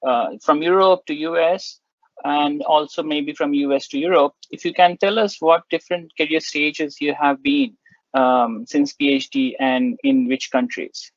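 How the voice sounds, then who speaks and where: Higher-pitched man hosting, dry muffled audio. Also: steady tone, unhurried, no echo. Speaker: seminar host. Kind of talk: conversational interviewing. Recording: slightly dull, dry online call.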